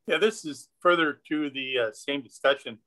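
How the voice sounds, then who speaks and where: Brief: male councilmember, bright edgy tone, deliberate pace. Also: fairly high pitch. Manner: deliberate, pausing with uh. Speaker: city councilmember. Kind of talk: council comments.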